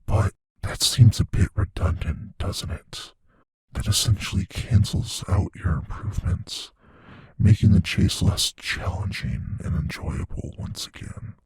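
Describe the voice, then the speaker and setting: Man acting a deep husky predatory monster, slow and dark. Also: no room sound. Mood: teasing menace. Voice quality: husky, breathy, near-whispered rasp. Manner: slow, musing, savoring pauses. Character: predatory shape-shifting male monster, playful, possessive, menacing. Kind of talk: intimate audio roleplay addressed to listener.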